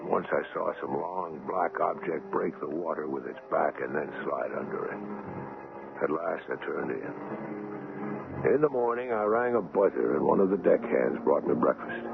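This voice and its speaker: A serious young doctor in an old radio drama, low gravelly voice, muffled sound. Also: male voice, expressive, moderate pace, full character voice. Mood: grave, reflective. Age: young adult. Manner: grave, earnest. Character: earnest, indignant young ship's doctor telling his story. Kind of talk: vintage radio drama acting and first-person narration.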